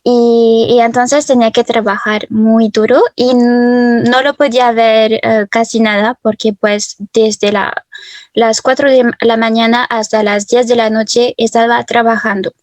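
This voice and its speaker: A woman in her twenties from France, telling her personal story as an interview guest, hesitant, with many fillers and soft laughs, over a video call. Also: a little high, little pitch movement, unhurried, no room sound. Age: 20s. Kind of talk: personal testimony.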